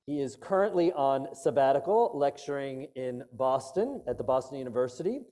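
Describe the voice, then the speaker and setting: A man leading a synagogue holiday service, introducing a guest speaker at length in a measured way. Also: medium pitch, animated, dark tone. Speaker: rabbi hosting a service discussion. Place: synagogue sanctuary.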